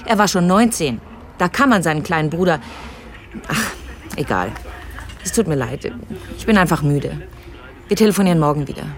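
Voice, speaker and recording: A woman's voice: on the low side, expressive, normal speed, long pauses, close to an everyday voice. An actress playing a tired young woman in a radio drama, probing family secrets in an insistent, sarcastic, natural way. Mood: weary.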